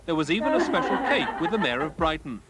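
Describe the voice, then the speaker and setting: A man's voice: on the high side, sing-song, fast, crowd noise. A man with a British accent narrating a scripted television news report as the reporter. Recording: loud background noise of location footage.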